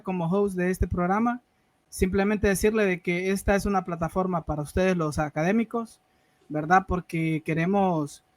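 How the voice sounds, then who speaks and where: A male host wraps up an online show over a video call, polite and leaning on tag questions. His voice sits high for a man and sounds dry and close. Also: no echo. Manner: polite, filler-heavy, repeats "¿verdad?". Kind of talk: show closing remarks.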